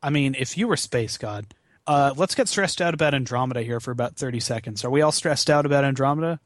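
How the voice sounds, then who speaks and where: A man's voice: mid-range voice, quick pace, no room sound, studio quality. A man chatting casually as a podcast guest, wry and given to swearing. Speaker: podcast guest, charity gaming marathon organizer. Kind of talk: casual chat.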